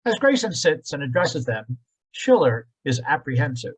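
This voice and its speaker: A man's voice: on the high side, big pitch swings, normal speed, rough recording. A man narrating an old-time radio drama in a dramatic, scripted style.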